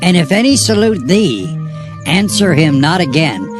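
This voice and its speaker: A man's voice: on the high side, big pitch swings, measured pace, pitched above the performer's own voice. A man voicing a stern, commanding old male prophet in a dramatized scripture reading, with music underneath. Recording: music bed behind voice.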